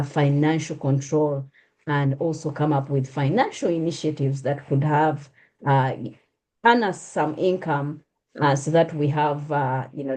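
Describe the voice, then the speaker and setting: A Ugandan woman hosts a conversational interview in a lowish, mellow voice. She speaks with many hesitations and restarts, pausing on fillers as she frames her questions. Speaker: host.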